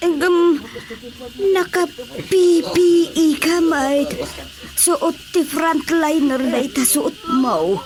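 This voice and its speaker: Woman acting slow, fretful Ilocano patient. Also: high voice, a crowd in the background. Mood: plaintive. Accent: Filipino, Ilocano. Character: fretful, doom-saying patient pleading for a nurse. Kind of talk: radio drama comedy acting.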